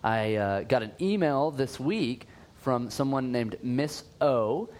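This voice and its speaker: A male podcast co-host in relaxed, upbeat chat. He talks in loose, rambling runs with frequent fillers and restarts. His voice sits at an ordinary middle pitch. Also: takes it slowly. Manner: chatty, filler-heavy, upbeat.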